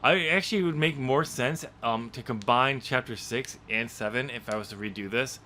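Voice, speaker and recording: A man's voice: medium pitch, moderate pace, bright, forceful tone, slight background noise. A man hosting a podcast about his own novel draft, chatting casually, laughing often and rambling with lots of fillers. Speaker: podcast host and author.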